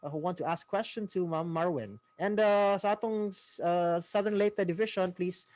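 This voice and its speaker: A woman's voice: on the low side, normal speed, darkish tone. A woman with a Filipino accent moderating a live online school session in a conversational way, often adding checking tags. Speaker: host, moderator.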